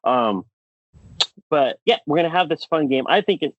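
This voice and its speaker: A man's voice: fairly high pitch, animated, moderate pace, dry recording, muffled recording. A man co-hosting a podcast in casual, enthusiastic banter, repeating words for emphasis. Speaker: podcast co-host.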